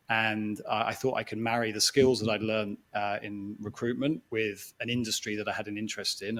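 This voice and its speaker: Exec, 40s, flat and steady delivery, hesitant, conversational talk to a crowd. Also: male voice, lowish voice. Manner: reflective, hesitant, restarts phrases. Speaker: guest speaker, sports executive. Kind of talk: conversational talk to a live audience.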